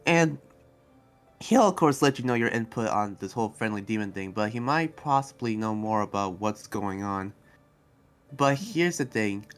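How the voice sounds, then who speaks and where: A man's voice: on the low side, lively intonation, normal speed, clean tone, natural voice. A man playing an earnest, protective demon fighter in an improvised anime game roleplay, talking in a hesitant, rambling way. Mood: concerned. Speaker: roleplay series player.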